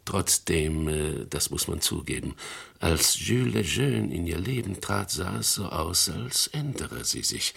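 An old antique dealer in a radio crime play, very deep and full of fry. He is courtly and gossipy, with a lively, insinuating delivery that flatters as it goes. The voice is very husky and breathy. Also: unhurried, exaggerated voice. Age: older. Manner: courtly, insinuating, chuckling between phrases. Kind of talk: German radio crime drama acting.

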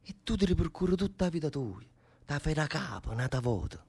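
A man's voice: fairly high pitch, big pitch swings, fairly quick, vocal fry, darkish tone, slightly rough, full character voice. An actor playing a brooding, fatalistic man facing death, speaking earthy, insistent dialect with a southern Italian accent in a theatre drama. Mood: musing. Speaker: stage actor in a radio theatre play.

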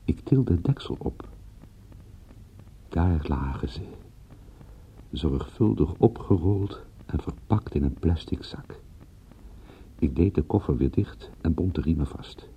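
A man's voice: extremely low pitch, expressive, slow pace, long pauses, mellow, breathy edge, full character voice, poor audio. A man playing an agitated, self-doubting but dogged private detective in a Dutch radio play. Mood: measured narration. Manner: stammering, restless, self-reproaching. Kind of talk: Dutch radio play dialogue and first-person narration.

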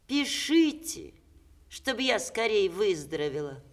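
An actress in a 1960s Russian radio play, playing a witty, ailing stage star with expressive theatrical diction. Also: female voice, medium pitch, measured pace. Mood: plaintive, dramatic.

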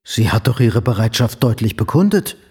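A man's voice: medium pitch, sing-song, normal speed, darkish tone, breathy edge, heavily acted. An actor playing a stern, principled middle-aged father in a period radio drama, speaking formally and cautiously. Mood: formal, reserved. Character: stern, principled older father of a plain family.

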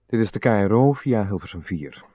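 A man's voice: fairly low pitch, animated, a little fast, no echo. A man reading formal scripted announcements as a radio announcer. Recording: slightly dull old radio recording.

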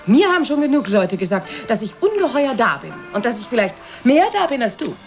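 A woman's voice: on the high side, sing-song, brisk, soft background music. A woman playing a bitter, nagging middle-class wife and weary mother in a radio drama, proper and full of reproach. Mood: indignant.

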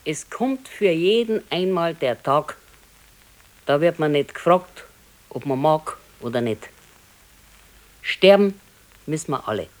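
An actress playing a stoic, dryly scolding old wife in a radio play, terse and matter-of-fact, with a Bavarian accent. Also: female voice, low-pitched, lively intonation, slow, pauses often. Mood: resigned. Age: older. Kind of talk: naturalistic radio play dialogue.

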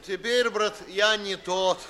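A man's voice: high-pitched, fairly even intonation, slow pace, pushed and bright, heavily acted. A male actor playing a henpecked retired officer, a listless, resigned husband who sighs through verse in a 1950s radio play. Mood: weary, nostalgic. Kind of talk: 1950s Russian stage verse acting for radio.

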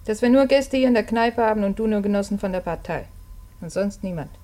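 Casting: female actor for candid, lonely young woman working in a tavern, mid-pitched, earnest, radio drama. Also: brisk, warm tone, natural voice. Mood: bitter, earnest. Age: young adult.

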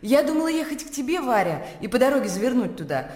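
Актриса играет рассудительную, сдержанную старшую сестру-дворянку в радиоспектакле, говорит размеренно и чуть снисходительно. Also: female voice, a little high, big pitch swings, a little mellow. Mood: concerned, practical. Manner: measured, condescending. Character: sensible, composed, mildly reproving elder gentlewoman sister. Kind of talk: radio drama acting. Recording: echoey reverberant hall.

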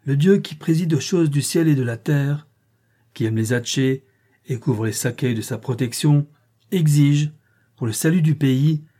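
Man doing an old elder, solemn loud proclaiming, raised pitch, mellow husky tone.

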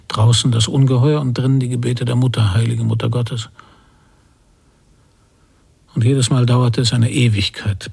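An actor playing a haunted middle-aged father, recalling his violent childhood in a brooding radio drama monologue with a gravelly, husky voice. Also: mid-range voice. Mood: tense, bitter.

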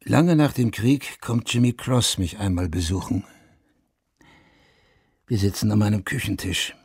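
A man's voice: fairly low pitch, expressive, measured pace, creaky, a little mellow, slightly rough, no echo, full character voice. An actor playing a reflective, haunted war veteran and writer in his forties, narrating intimately in a radio drama. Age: middle-aged. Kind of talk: German radio drama first-person narration. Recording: studio radio drama over guitar music.

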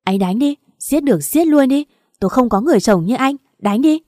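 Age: young adult. Figure: betrayed wife in a spoken audio story, shouting with rage, acted by a woman narrator. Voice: warm and mellow. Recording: dry. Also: mid-range voice, animated, fairly quick, no echo, lightly acted. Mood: furious. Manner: shouting, raging accusations.

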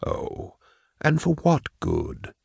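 A man voicing a smooth, falsely kindly prefect in an audiobook, soft and whispery, with measured, condescending phrasing. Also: fairly high pitch, huge pitch swings, no room sound, clean studio sound, voice pushed up, exaggerated voice. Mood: weary disdain. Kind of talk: audiobook character acting.

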